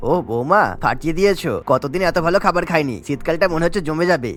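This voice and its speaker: A man's voice: a little high, lively intonation, fast, a bit bright, faint music behind. An actor voicing a greedy, pushy old grandfather who freeloads as a guest in a cartoon. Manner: pushy, demanding, smug. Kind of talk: cartoon dubbing.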